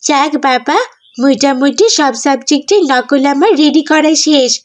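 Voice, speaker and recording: A woman's voice: high-pitched, steady tone, unhurried. A woman voicing a young, scheming schoolgirl in a children's cartoon. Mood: gleeful, scheming.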